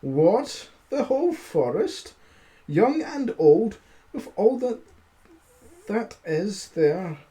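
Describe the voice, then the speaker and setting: A man acts out a gullible giant from a fairy tale, growling and suspicious. The delivery is slow and emphatic, pitched on the high side, with a soft, mellow edge. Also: very animated, heavily acted. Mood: shocked. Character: credulous, easily terrified giant, growling and suspicious.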